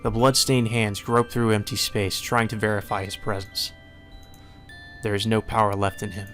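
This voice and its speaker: A man reading a visual novel aloud as dramatic, solemn and measured narration. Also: fairly low pitch, level tone, fairly bright tone. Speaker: narrator, let's play reader. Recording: music behind the voice.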